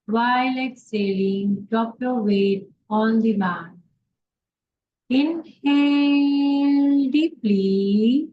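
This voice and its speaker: A woman yoga instructor leading a guided relaxation meditation in short instructions with pauses between them. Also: fairly high pitch, faint music behind. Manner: slow, pausing instructions in short phrases. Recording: dull lo-fi sound, faint background noise.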